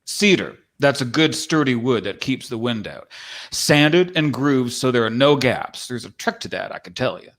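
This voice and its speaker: A man's voice: mid-range voice, animated, moderate pace, fairly bright tone. A man playing a proud, folksy old-time cowboy spirit from a painting in a dramatic script reading, with boastful, rustic phrasing. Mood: folksy, curious.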